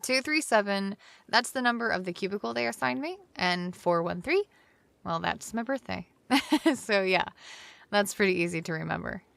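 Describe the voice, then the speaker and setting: A woman's voice: mid-range voice, lively intonation, moderate pace, creaky, fairly bright tone, no room sound. An actress playing an amazed, curious young woman visiting a sci-fi city in an audio drama. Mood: hesitant, friendly. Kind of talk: full-cast audio drama acting.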